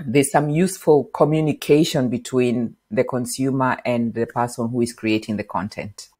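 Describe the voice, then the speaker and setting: A middle-aged woman from Kenya, a podcast guest, explaining marketing in an animated interview on a lapel mic in a home office. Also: a bass voice, normal speed, breathy edge. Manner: animated, gestures while explaining. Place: bright home office with bookshelf.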